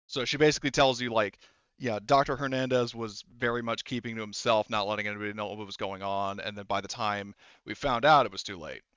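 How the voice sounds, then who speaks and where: Halting male game master, lowish voice. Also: a bit bright, clear, resonant tone, dry recording, highs cut off. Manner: rambling, halting. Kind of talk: improvised tabletop game narration, casual.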